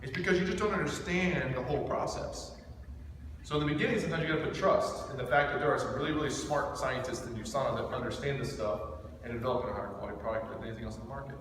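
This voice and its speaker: A man's voice: mid-range voice, quick pace, a bit bright. Intense man training in an echoey room. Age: thirty-seven. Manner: intense, emphatic, direct, peppered with rhetorical questions. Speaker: business team trainer. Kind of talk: informal motivational training talk to a group. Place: echoing indoor room with a small group. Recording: echoey room recorded from far off, faint noise.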